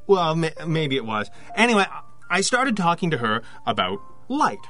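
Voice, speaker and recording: An actor playing an awkward, earnest photographer in an audio drama, speaking in halting, hesitant phrases. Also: male voice, fairly high pitch, very animated, bright, forceful tone, faint music behind. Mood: curious. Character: awkward, earnest freelance photographer confiding a strange story.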